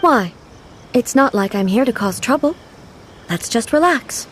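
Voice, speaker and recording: An actress playing a calm, dignified ruler who speaks formally and with composed authority in an anime game. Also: female voice, on the high side, a little slow, background noise. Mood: calm, aloof. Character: dignified female ruler, ageless, calm, regal, aloof yet curious. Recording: loud background music.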